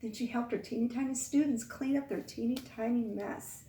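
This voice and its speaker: A woman reading a picture book aloud to young children, expressive, pausing to ask the listeners questions. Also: fairly high pitch, slight background noise. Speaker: children's storytime reader. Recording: echoey room, far from mic.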